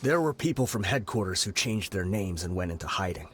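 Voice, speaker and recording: A man's voice: low-pitched, expressive, fairly quick, faint music behind. An actor playing a weary male mercenary leader who speaks in a brooding, confessional way. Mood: vengeful.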